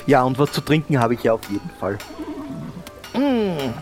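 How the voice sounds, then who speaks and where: A man improvising the part of a modest shopkeeper and former sailor, speaking haltingly and repeating words as he searches for them. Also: mid-range voice, very animated, music in the background. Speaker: player in an improvised pirate audio adventure. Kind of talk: improvised in-character role-play dialogue.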